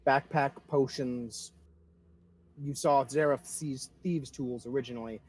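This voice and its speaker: A man with a husky voice casually running an online tabletop game as game master, given to swearing. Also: mid-range voice, steady tone, measured pace, a little mellow.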